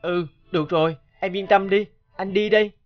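A man's voice: high-pitched, steady tone, normal speed, lo-fi sound, natural voice. A man voicing a caring, hardworking orphan boy in a cartoon, an earnest and tender big brother. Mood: tender, coaxing.

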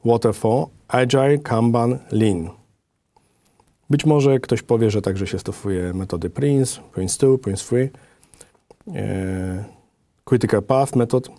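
A middle-aged man giving a formal, methodical slide presentation in a measured way. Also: lowish voice, warm tone, no echo. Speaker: design office director presenting.

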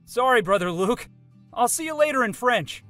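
A man's voice: very high voice, lively intonation, moderate pace, bright, forceful tone, faint music behind, pitched above the performer's own voice, exaggerated voice. A man voicing an earnest, slightly goofy young male teaching assistant. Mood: apologetic.

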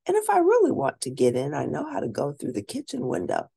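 A woman's voice: low voice, wildly swooping pitch, a little fast, vocal fry, mellow, slightly rough, full character voice. A woman voicing a curious, confident, chatty young girl in a storybook, eager and emphatic. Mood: breezy, confident.

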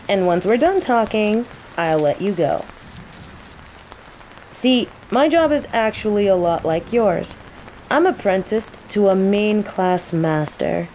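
Woman acting as a slow-talking, smug young mage. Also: medium pitch, poor audio. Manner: playful, taunting, unhurried. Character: teasing young female nature mage, smug rival apprentice. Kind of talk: roleplay audio drama monologue. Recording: steady rain noise behind. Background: rain.

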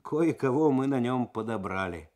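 A male reader plays a senior detective in a radio story. He speaks in a calm, low-key way, with dry, wry resignation. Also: moderate pace, lightly acted. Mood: quietly satisfied. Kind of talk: dramatic radio story reading.

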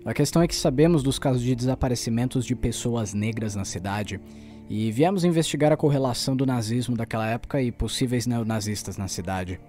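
A man's voice: medium pitch, a little fast, warm tone, soft background music, lightly acted. A Brazilian man acting in an audio drama as a bold, determined journalist who talks in an insistent, colloquial way. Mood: serious, probing. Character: determined male journalist, bold, stubborn investigator.

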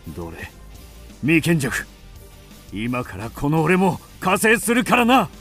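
An actor playing a mysterious, fearless undead avenger in a radio drama, speaking in a grim, theatrical, archaic manner. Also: male voice, a little high, huge pitch swings, slow pace, over loud music, heavily acted. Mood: fierce, defiant. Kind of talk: Japanese radio drama acting.

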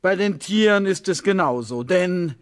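An actor playing an absent-minded, rambling schoolteacher in a children's radio play, halting as he lectures. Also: high-pitched, animated, no echo, clean studio sound. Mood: distracted, lecturing. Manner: halting, lecturing, loses his thread. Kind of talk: 1970s German children's radio play acting.

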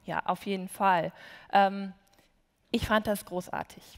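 A woman's voice: mid-range voice, fairly even intonation, unhurried. A woman shares her experience with candid enthusiasm and a touch of self-deprecation. She speaks from a university auditorium stage, and her mid-range voice carries a long hall reverb. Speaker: student translator. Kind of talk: panel conversation.

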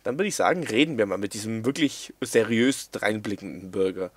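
A young man doing casual let's-play commentary, playful and quick to giggle, reading game lines aloud in silly voices. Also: on the low side, lively intonation, no room sound. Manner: giggly, playful, breaks into laughter. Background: faint game music.

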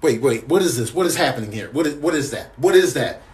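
An American man improvising comedic animal voice-overs with animated, exasperated, slangy delivery. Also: medium pitch, quick pace, breathy edge. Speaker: comedy voice-over performer.

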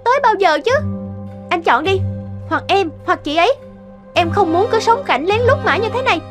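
A woman playing a demanding, aggrieved young mistress in an animated drama, pleading and insistent. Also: very high-pitched, moderate pace. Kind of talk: animated cartoon drama acting. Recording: loud music behind the voice. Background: music.

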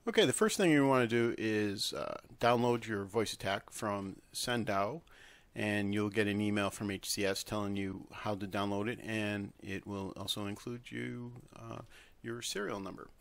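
A man presenting a casual software tutorial, talking informally through each step as he works at a computer. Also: fairly low pitch, normal speed, creaky. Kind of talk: casual screen-recorded tutorial.

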